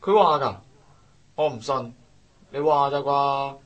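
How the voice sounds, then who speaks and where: A man's voice: medium pitch, fairly even intonation, slow, lo-fi sound. A man acting a resentful, sarcastic young coworker in a Cantonese radio drama, with a Hong Kong accent and a grumbling delivery.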